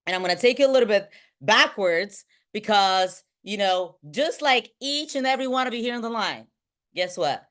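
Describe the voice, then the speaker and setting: A woman in her thirties from Bulgaria leads a motivational online training as a sales team trainer, talking excitably and often checking in with short questions. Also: medium pitch, normal speed. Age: 30s. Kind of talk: motivational online training talk to a live group.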